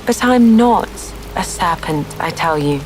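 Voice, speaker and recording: A woman's voice: fairly low pitch, lively intonation, unhurried, slight background noise, voice pushed up, low-quality recording. A woman puts on an earnest little girl from a bedtime storybook. The child is polite, puzzled and a touch doubtful, speaking without hurry while rain patters faintly behind. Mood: doubtful protest. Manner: doubtful, truthful, mildly protesting.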